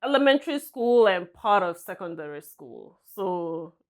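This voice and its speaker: Woman, from Nigeria, lowish voice, soft-spoken, hesitant, interview guest. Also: big pitch swings, moderate pace. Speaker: guest, senior vice president. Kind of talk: interview answers.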